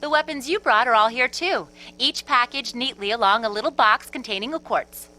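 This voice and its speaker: A woman's voice: a little high, a little fast, sharp tone, rough recording. A woman playing a breezy, teasing academy instructor in a video game, explaining in a chirpy, casual way. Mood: breezy, upbeat. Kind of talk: English video game voice acting.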